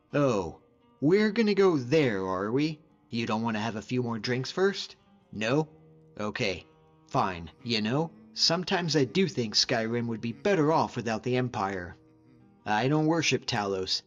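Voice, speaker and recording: A man's voice: mid-range voice, highs cut off, lightly acted. A man voicing a hardy, easygoing hunter and frost mage as a fantasy game companion, talking informally and sincerely in a reflective, plain-spoken way. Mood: teasing, then earnest. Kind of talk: conversational scripted game character dialogue.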